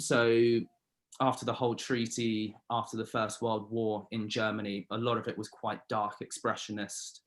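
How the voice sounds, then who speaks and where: A man's voice: lowish voice, fairly bright tone. A man, a guest artist, explaining his work in a casual interview over a video call.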